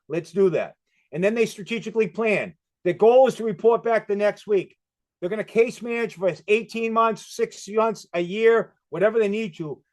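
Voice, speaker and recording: A man with a Boston accent giving a brisk presentation. He walks through the steps with quick questions and answers. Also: sits high, a little mellow. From Massachusetts. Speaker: presenter on community crisis programs. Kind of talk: fast presentation talk.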